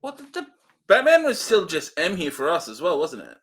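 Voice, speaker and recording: A man's voice: fairly high pitch, wildly swooping pitch, fairly quick, fairly bright tone. A man around forty chatting casually as co-host of a film review show. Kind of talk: casual chat.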